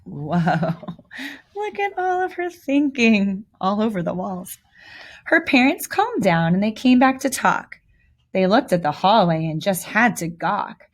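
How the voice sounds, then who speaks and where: A woman's voice: medium pitch, big pitch swings, slow pace. A woman reading a children's picture book aloud with theatrical emphasis and little asides to young listeners. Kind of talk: expressive read-aloud storytelling.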